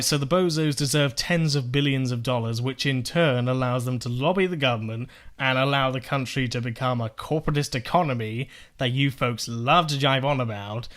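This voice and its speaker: A man's voice: medium pitch, fairly bright tone. A young man giving sarcastic, profane political commentary, firing off rhetorical questions as he rebuts clips. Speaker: political video essayist.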